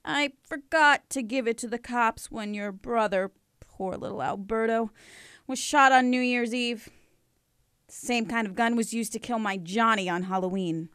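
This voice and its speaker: A woman's voice: fairly high pitch, moderate pace, fairly bright tone, smooth, dry recording, studio quality, natural voice. A woman playing a grieving, vengeful crime-family matriarch in a noir radio drama, full of menace. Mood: bitter, vengeful.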